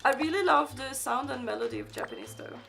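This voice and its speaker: A high-pitched woman with a fried, gravelly texture talks casually to her live-stream audience. English is not her native language, and she rambles through fillers and restarts while reading chat. Light game music plays behind her. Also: huge pitch swings, fast, a bit bright. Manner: chatty, reads chat aloud, frequent fillers and restarts. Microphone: desk microphone.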